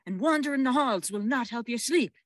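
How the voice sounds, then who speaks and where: An actress playing a stern aunt in an audio drama, a servant in a great old house, with a sharp, commanding, scolding manner. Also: female voice, a little high, animated, brisk, no echo, studio quality.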